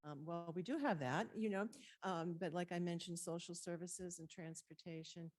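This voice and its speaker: A soft-spoken woman, presenting her department's work at a city council meeting through a table microphone, with frequent fillers. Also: on the low side, steady tone, moderate pace, no echo. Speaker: council on aging director presenting budget.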